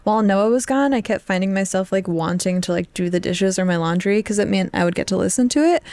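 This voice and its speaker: A woman's voice: mid-range voice, quick pace, a little mellow, no echo. Young woman chatting casually and candidly. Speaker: podcast co-host. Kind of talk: casual book discussion.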